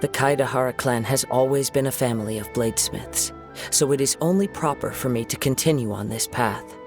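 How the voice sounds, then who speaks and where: An actor playing a gentle, reflective young wandering swordsman in a video game, speaking in a measured, formal, contemplative way. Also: mid-range voice, music in the background, lightly acted. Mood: polite, resolute.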